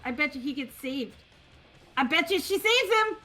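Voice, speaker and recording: A woman's voice: sits high, expressive, moderate pace, sharp tone, soft background music. A woman reacting casually while watching a game with friends.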